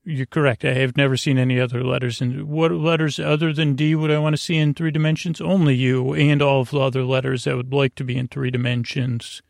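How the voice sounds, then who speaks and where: A man hosting a sleep podcast with a halting, meandering, mumbly delivery, rambling whimsically through a bedtime monologue. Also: medium pitch, fairly even intonation, creaky, no echo, clean studio sound. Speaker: podcast host.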